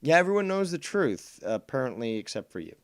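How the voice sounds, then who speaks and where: A man's voice: mid-range voice, sing-song, fairly quick. A Canadian man whose first language is Spanish, hosting and arguing back at a clip in a combative, profane, sarcastic way. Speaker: streamer host. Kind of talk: heated commentary on a clip.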